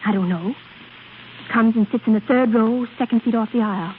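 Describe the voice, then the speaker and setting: An actress playing a vain, temperamental young prima donna in 1930s radio style, haughty and theatrical. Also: female voice, a little high, brisk, natural voice. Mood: smitten, excited. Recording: tinny, noisy 1930s radio recording.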